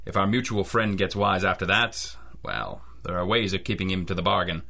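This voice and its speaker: A man's voice: deep voice, normal speed, bright, forceful tone, heavily acted. A man playing a tough, wry, wisecracking private eye in an old-time radio detective drama. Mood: businesslike. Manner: tough, wry, gruffly reassuring. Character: hard-boiled, wisecracking male private eye.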